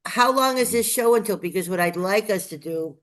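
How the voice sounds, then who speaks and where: A woman's voice: medium pitch, expressive, a little fast. A woman chatting enthusiastically as a guest on a live-streamed show, sounding a bit congested. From Las Vegas, Nevada. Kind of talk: casual live-stream chat.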